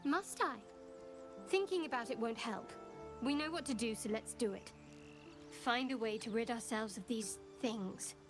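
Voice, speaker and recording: Girl playing secretive sarcastic adventurer, highish voice, dry n evasive, game music behind. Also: unhurried, lightly acted. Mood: guarded, dry. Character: guarded, sardonic young female adventurer, secretive. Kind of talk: fantasy game voice acting.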